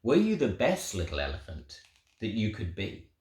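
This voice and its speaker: A man voicing a tender, proud God who slowly questions little creatures in a children's storybook. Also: on the low side, huge pitch swings, darkish tone, pitched below the performer's own voice. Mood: tender questioning. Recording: roomy, echoing sound.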